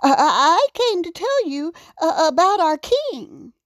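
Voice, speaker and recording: A woman's voice: very high voice, very animated, unhurried, no room sound, clean studio sound, voice pushed up, exaggerated voice. A woman voicing a timid little male raccoon in a children's story, nervous, hesitant and stammering. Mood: frightened, stuttering.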